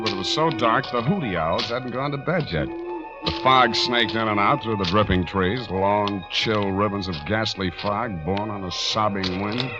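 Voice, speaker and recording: An actor playing a sardonic, hardboiled private detective, wisecracking and narrating in 1940s radio style. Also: male voice, fairly low pitch, close to an everyday voice. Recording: dull 1940s radio recording, music behind.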